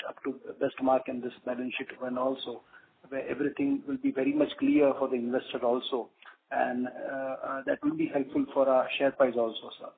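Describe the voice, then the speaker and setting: A man, an investor on an earnings call, wordy and full of praise, with many restarts and fillers. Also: medium pitch. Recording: phone-line call audio with faint noise.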